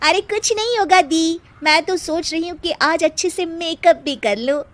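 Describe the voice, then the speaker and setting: A woman voicing a mischievous, excitable young prankster sister in a cartoon moral story. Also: extremely high pitch, normal speed, dry recording, voice pushed up. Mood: teasing. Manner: excitable, squealing, teasing.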